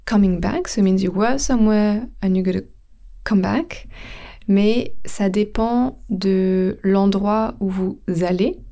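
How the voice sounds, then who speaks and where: A French woman gives a podcast language lesson in an unhurried, deliberate way, spelling out words and slipping into English to explain them. Her voice is mellow and soft-edged, sitting at an ordinary middle pitch, and she lets each phrase land slowly. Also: gravelly. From France. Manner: slow, careful explanation mixing French and English. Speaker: French teacher.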